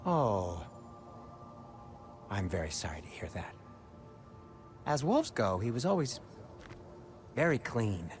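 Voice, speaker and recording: A man's voice: lowish voice, big pitch swings, slow pace, pauses often, soft background music. An actor playing an anxious, fussy adult man in a video game, hedging nervously with dry sarcasm. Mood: awkward, dry. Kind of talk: video game cutscene acting.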